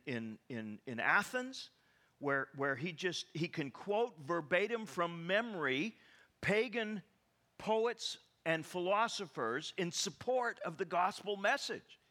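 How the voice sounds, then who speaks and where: A man preaching a sermon, animated and full of stammering restarts and rhetorical questions to the congregation. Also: high voice. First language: English.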